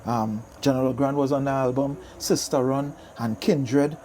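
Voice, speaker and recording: A man's voice: mid-range voice, steady tone, normal speed, dark tone, slight background noise. A middle-aged man with a Trinidadian accent, reminiscing casually as an interview guest and repeating phrases for emphasis. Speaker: guest, DJ and soca singer.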